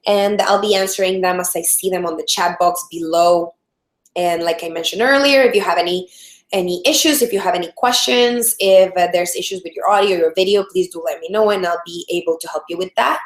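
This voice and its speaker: A woman in her thirties from El Salvador with a Salvadoran Spanish accent, hosting a live webinar training as a product strategist, friendly and full of fillers and restarts. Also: mid-range voice. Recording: roomy echo.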